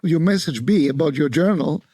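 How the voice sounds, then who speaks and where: A man hosting a podcast interview, asking questions and encouraging his guest with quick affirmations. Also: fairly high pitch, mellow, no echo. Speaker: podcast host.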